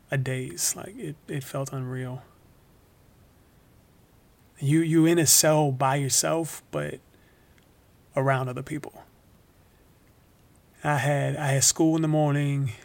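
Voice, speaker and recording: A male actor playing a wrongly imprisoned young man telling his story in a halting, reflective monologue for a documentary audio drama. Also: on the high side, creaky. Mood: weary, confused.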